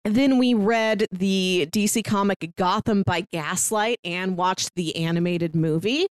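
A woman's voice: mid-range voice, a little slow, clean tone, dry recording. A woman co-hosting a podcast, chatting casually as she recaps a year of reviews. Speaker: podcast co-host.

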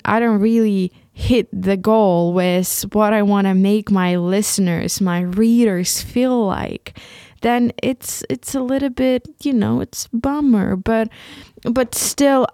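A young Ukrainian woman with a Ukrainian accent, speaking English as a second language. She hosts a solo podcast and talks in a halting way, restarting and repeating words often. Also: mid-range voice, darkish tone, no echo, clean studio sound. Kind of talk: casual solo podcast monologue.

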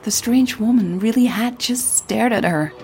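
A woman reading scripted audio drama narration in a husky voice. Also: a little high, measured pace, a little mellow, faint music behind.

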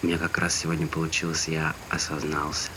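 Casting: actor for dreamy, persuasive buddy, very low voice, slangy stage dialogue, noisy background. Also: male voice, monotone, fast talker. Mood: coaxing. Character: eager, dreamy male friend, amateur songwriter. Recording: noisy stage recording with ambient sound.

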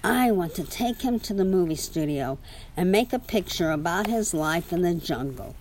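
A woman's voice: fairly low pitch, expressive, normal speed, darkish tone, a little hiss or hum, close to an everyday voice. A woman reading the part of a caring man, a monkey's friend in a children's story, speaking earnestly and politely.

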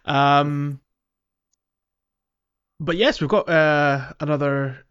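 A man's voice: fairly high pitch, steady tone, drawn-out words, a bit bright, smooth. Man chatting, slightly dull audio. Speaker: podcast co-host. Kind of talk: casual gaming podcast chat.

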